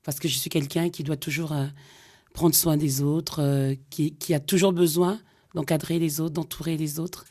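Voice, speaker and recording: A woman's voice: low-pitched, fairly even intonation, normal speed, darkish tone. A middle-aged woman from Cameroon, a singer being interviewed on radio, speaking hesitantly with many fillers. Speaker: guest singer. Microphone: studio microphone.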